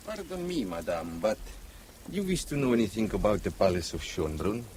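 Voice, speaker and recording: An actor playing a polite, furtive palace guide who is secretly an underling, speaking with hesitant courtesy in an audio drama. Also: male voice, fairly low pitch, big pitch swings, brisk, darkish tone, slight background noise. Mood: discreet, courteous.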